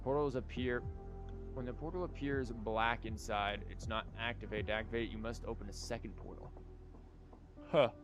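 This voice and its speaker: A man's voice: medium pitch, fairly even intonation, moderate pace. Male streamer, casual chat, wry jokes, live gameplay commentary, game music behind. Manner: wry, joking. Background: video game music.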